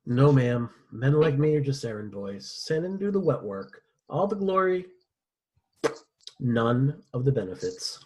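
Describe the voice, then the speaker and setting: A man at a script table read playing a cynical, smug, ruthless mercenary villain with a husky, sardonic delivery. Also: mid-range voice, expressive, unhurried, a little mellow. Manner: sardonic, profane.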